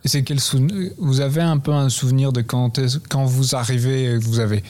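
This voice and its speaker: A man's voice: mid-range voice, steady tone, normal speed, mellow. A man hosting a podcast, asking interview questions in an informal but polite, conversational way.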